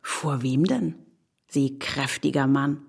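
A woman's voice: very deep voice, takes it slowly, vocal fry, a little mellow, husky, exaggerated voice. An actress playing a sardonic married city woman in an audio drama, speaking in a dry, ironic, conversational way. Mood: teasing. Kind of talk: German audio drama acting.

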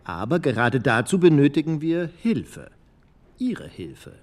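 Lively, ceremonious radio announcer. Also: male voice, on the high side, unhurried. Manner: ceremonious, emphatic. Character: courteous, formal radio presenter appealing to listeners. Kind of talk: radio play announcer acting.

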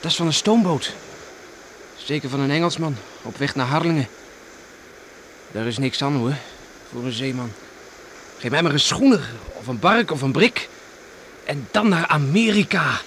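A man's voice: a little high, animated, normal speed, long pauses, a bit bright. A young man playing a dreamy, teasing teenage farm boy in a radio drama, boyish and matter-of-fact. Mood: proud, dreamy. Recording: faintly noisy old radio recording.

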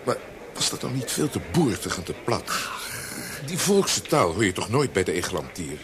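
An actor playing an anxious, fickle eighteen-year-old poet in a theatrical radio drama style. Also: male voice, fairly low pitch, big pitch swings, normal speed. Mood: flustered, doubting. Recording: noisy radio play recording with clicks.

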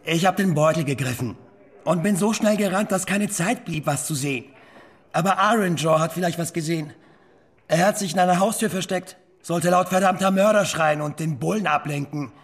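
An actor playing a nervous young petty thief in a radio crime drama, evasive under questioning. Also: male voice, a little high, a little fast, husky. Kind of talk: radio play acting.

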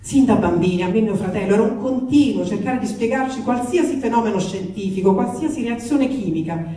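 A woman reads a letter aloud with dramatic expression. Her voice is soft-edged and dark, heard at a distance in a strongly reverberant room with faint noise. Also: mid-range voice, brisk. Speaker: reader of comic letters.

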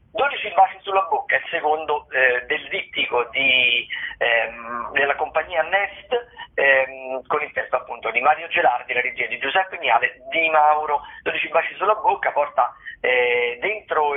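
A man's voice: a little high, breathy edge. A man giving theatre listings and recommendations on the radio over a phone line, hesitant and often correcting himself as he goes. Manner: hesitant, halting, self-correcting delivery. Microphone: phone call. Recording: tinny band-limited telephone line.

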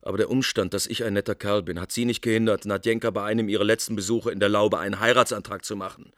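Actor as a lowish-voiced, sarcastic doctor. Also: male voice, fairly even intonation, quick pace, a bit bright, no room sound, clean studio sound, lightly acted. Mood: cool sarcasm. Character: coolly sarcastic doctor, wounded rival in love. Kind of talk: German radio drama acting.

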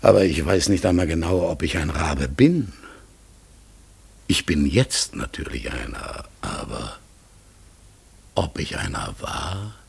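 A deep, hoarse, halting talking raven. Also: male voice, sing-song, heavily acted. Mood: pensive, earnest. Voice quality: hoarse, gravelly, breathy, often dropping to a whisper. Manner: slow, halting, earnest, searching for words. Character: talking raven, polite, hesitant, forgetful, melancholy and mysterious. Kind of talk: classic German radio play acting.

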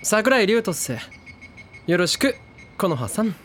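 A man's voice: high-pitched, big pitch swings, unhurried, fairly bright tone, slight background noise, full character voice. An actor playing a laid-back teenage boy who talks in a casual, slangy, friendly way. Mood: easygoing. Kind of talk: drama CD acting.

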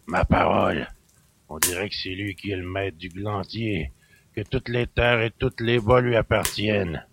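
Surly middle-aged innkeeper played by a male narrator, low, slow, grumbling in rustic speech, for an audiobook. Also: fairly bright tone, a little hiss or hum. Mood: resentful, scornful. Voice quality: gravelly, growling.

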